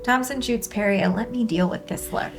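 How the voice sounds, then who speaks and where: A woman's voice: fairly high pitch, brisk, soft background music. A woman hosting and reading aloud in an upbeat, conversational presenter's style. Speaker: host and script reader. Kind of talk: upbeat hosted discussion and stage-direction reading.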